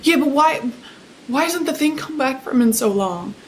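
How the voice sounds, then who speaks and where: Woman playing an anxious, fretful mother, highish voice. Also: lively intonation, slight background noise. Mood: worried. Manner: halting, repeating words. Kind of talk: film script table read.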